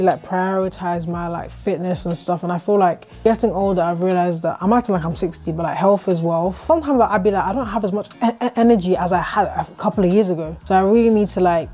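A young woman in her twenties, chatting from her bedroom on a small clip-on mic. Her voice sits a little low, and she thinks aloud in long runs, full of "like" and false starts. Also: dark tone. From Britain. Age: 20s. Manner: reflective, talks in long runs with many "like" fillers and restarts. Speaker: YouTuber host. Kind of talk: casual chat. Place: bedroom, indoors.

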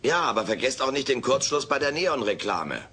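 A man's voice: medium pitch, sing-song, brisk, pushed and bright, slight background noise. A man voicing a cheeky, brash alien houseguest in an audio drama, wisecracking and theatrical with mock innocence. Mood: mock-innocent. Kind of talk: German audio drama dub acting.

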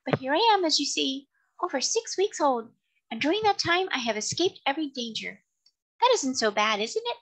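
A woman's voice: high voice, very animated, moderate pace, a bit bright, voice pushed up, heavily acted, rough recording. A woman voicing a tiny, chipper, boastful little seahorse with a small but distinct voice in a storybook. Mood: proud.

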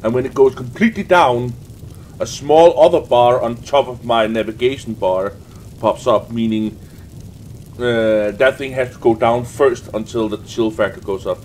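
A man's voice: medium pitch, fairly even intonation, normal speed, darkish tone, slight background noise. A young man with a sniffly cold giving casual, rambling, sweary gaming commentary as host. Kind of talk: casual let's play commentary. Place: indoors at a desk, webcam view. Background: faint game music.

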